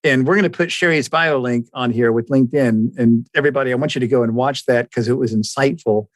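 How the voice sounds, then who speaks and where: A man's voice: medium pitch, quick pace, no echo. A man hosting an interview podcast, speaking in an enthusiastic, effusive manner as he introduces and questions. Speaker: podcast host.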